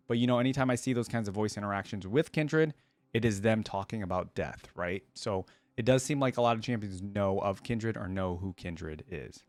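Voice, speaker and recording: A man's voice: on the low side, no echo. A man hosting a reaction video, switching between casual commentary and reading aloud.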